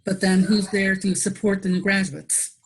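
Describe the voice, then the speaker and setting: A woman talks in an emphatic, pointed way. Her voice is gravelly, with a husky, breathy edge, and it sits at an ordinary pitch for a woman. She stresses her words as she makes her case to a fellow nurse. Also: fairly even intonation, moderate pace, poor audio. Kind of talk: conversational interview.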